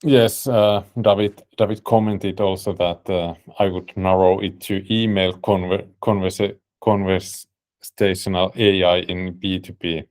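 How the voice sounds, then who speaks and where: A man's voice: low voice, steady tone, measured pace, a little mellow. A man with a Finnish accent, a native Finnish speaker, hosting a business webinar and presenting in English with many fillers and restarts. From Finland. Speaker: webinar host and company CEO.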